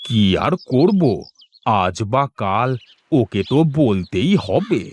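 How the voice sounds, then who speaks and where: A man voicing a knowing older village uncle in a cartoon, with drawn-out, emphatic phrasing. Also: medium pitch, sing-song, slightly rough, exaggerated voice. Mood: amused, knowing.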